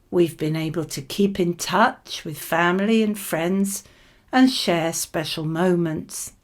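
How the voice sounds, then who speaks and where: Slow-paced woman giving model exam answers. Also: on the low side, a little mellow. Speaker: model candidate in an English speaking exam lesson. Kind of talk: read-aloud model exam answers, conversational.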